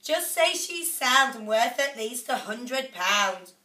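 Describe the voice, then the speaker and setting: A woman voicing a bossy middle-aged mother who scolds in loud outbursts. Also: on the high side, animated, slow pace, voice pushed up, full character voice. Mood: scolding. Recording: echoey room, off-mic.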